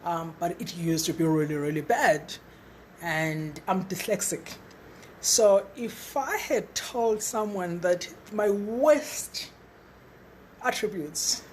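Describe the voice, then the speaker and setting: A middle-aged woman with a husky voice giving an eager, encouraging webinar talk as a trainer, stumbling and repeating words now and then. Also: medium pitch, a little hiss or hum. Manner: stutters at times, repeats words; enthusiastic, encouraging. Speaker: facilitator and trainer.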